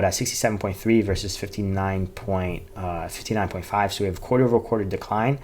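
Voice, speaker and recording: A man giving informal, unscripted finance commentary, reading out figures in a halting, repetitive way and often correcting himself. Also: on the low side, a little mellow, a little hiss or hum. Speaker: finance YouTuber reviewing company earnings.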